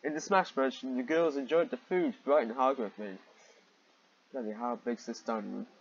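Male reader, husky mid-pitched voice, sarcastic and exasperated, swears freely; dull home recording. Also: moderate pace. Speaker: reader and commentator of fan fiction. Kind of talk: reading fan fiction aloud with mocking asides.

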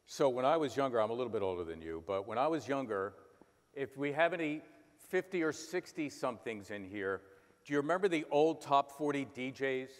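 A man's voice: mid-range voice, animated, fairly quick. A man in his sixties from Philadelphia, a sports announcer, telling stories on stage and breaking into bits of play-by-play. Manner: storytelling with bursts of play-by-play imitation. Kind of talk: on-stage conversation. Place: large conference hall stage. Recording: hall reverb.

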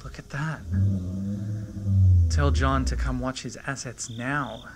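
A man's voice: medium pitch, fairly even intonation, takes it slowly. Man playing jittery male park vet in horror vhs short, mutters and swears, super noisy camcorder audio. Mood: hushed awe. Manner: muttering observations, swearing under stress. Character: nervous male park vet in night-time danger. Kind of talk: found-footage horror film acting. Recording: loud noise, found-footage camcorder sound.

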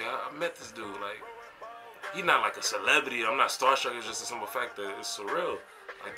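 An American man in his twenties reflecting casually for a vlog, talking in a slangy, emphatic, conversational way. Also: mid-range voice. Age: 20s. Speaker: vlogger and college basketball player. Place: small bedroom, indoors. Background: music.

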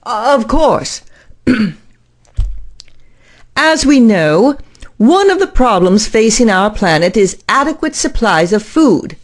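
A woman's voice: a little high, big pitch swings, slow, pauses often. A woman acting an earnest, eager-to-please scientist in an audio drama.

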